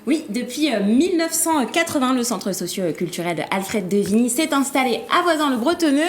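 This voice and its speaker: A woman's voice: sits high, sing-song, normal speed, echoey room. A woman presenting and interviewing guests on a TV talk show, with a hesitant delivery. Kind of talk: studio interview.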